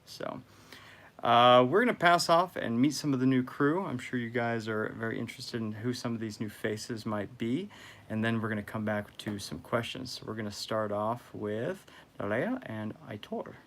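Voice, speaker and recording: A man's voice: medium pitch, normal speed, fairly bright tone, slight background noise. A man hosting a sailing vlog update, thinking aloud about plans in a casual, musing way.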